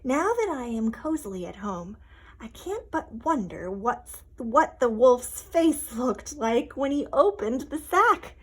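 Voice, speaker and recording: Storybook hen, female reader: high, unhurried, expressive, chatty, shaken yet relieved. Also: slightly rough, full character voice. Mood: cozy, relieved. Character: relieved, chatty mother hen writing a letter, still shaken. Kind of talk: dramatic storybook read-aloud.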